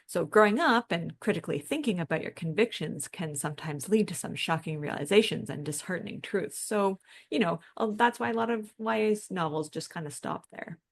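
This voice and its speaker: A woman co-hosting a podcast, making her points in a reflective, measured, conversational way. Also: fairly low pitch. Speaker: podcast co-host. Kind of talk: conversational podcast discussion, partly prepared points.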